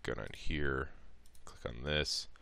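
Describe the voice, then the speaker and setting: A man with an extremely deep yet smooth, clear voice presents a software tutorial. He is informal and a little hesitant as he explains each step. The recording is close and dry. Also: fairly even intonation, slow, no room sound. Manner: informal, hesitant, thinking aloud.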